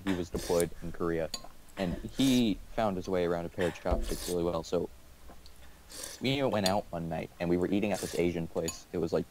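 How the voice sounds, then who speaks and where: Young male co-host, low-side voice, joking and teasing anecdote teller, clattery noisy recording. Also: fairly quick, darkish tone. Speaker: video co-host. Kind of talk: casual chat, telling anecdotes. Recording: noisy room with clinking dishes.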